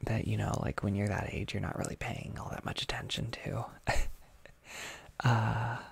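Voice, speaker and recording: A young adult with an American accent chats casually on a livestream, reading and answering comments in a soft-spoken, hesitant, rambling way. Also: mid-range voice, level tone, normal speed, vocal fry, husky, in a whisper. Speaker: ASMR audio creator livestreaming.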